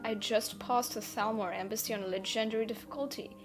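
Actress as unbothered female gamer captive, fairly high voice, playful teasing, intimate audio roleplay, soft music behind. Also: fairly even intonation, fairly quick, lightly acted. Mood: nonchalant. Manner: playful, nonchalant, gently teasing. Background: background music.

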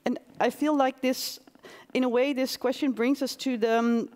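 Woman, 30s, moderator, headset mic, higher voice, reverberant hall, thinking aloud. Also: steady tone, normal speed, a little mellow. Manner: searching, with fillers and restarts. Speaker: festival moderator. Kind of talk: stage interview questions. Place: theater stage before audience.

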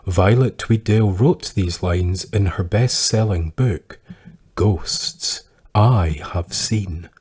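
Male podcast narrator, low and unhurried, deliberate storytelling with weighted pauses. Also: darkish tone, slightly rough.

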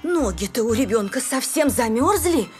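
Woman acting lively old cartoon grandmother, music behind. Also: on the high side, moderate pace, close to an everyday voice. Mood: fussy, puzzled. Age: older. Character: caring, slightly fussy elderly grandmother. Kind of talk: animated children's cartoon acting.

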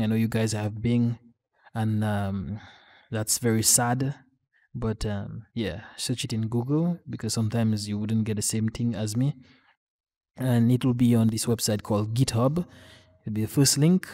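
Male tutorial host, low mellow voice, informal and halting step-by-step walkthrough. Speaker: gaming channel tutorial host. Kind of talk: casual screen-recorded software tutorial.

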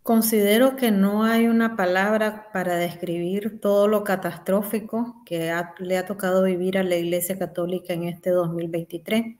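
A woman's voice: mid-range voice, fairly even intonation, moderate pace, few pauses, darkish tone. Earnest young woman lawyer on video call. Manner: earnest, searching for words. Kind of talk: remote interview. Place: small room at home.

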